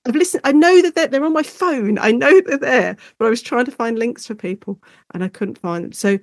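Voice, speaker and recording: A woman chatting casually as an interview guest, animated and often correcting herself as she explains. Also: a little high, a little fast, no room sound. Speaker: guest, university lecturer and author.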